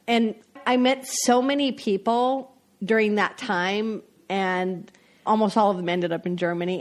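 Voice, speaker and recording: Chatty woman, laughs as she talks. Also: fairly low pitch. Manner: chatty, laughs often while talking. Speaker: foreign correspondent, guest. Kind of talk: casual interview chat.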